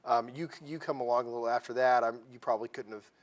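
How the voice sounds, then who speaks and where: A man's voice: medium pitch, fairly even intonation, fast. A man interviewing a guest in a chatty, friendly way, asking questions and adding asides. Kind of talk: oral history interview.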